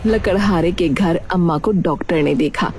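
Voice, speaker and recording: A woman's voice: fairly low pitch, moderate pace, a little mellow, slight background noise. A woman narrator delivering scripted storytelling narration for a cartoon tale. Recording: music behind the voice.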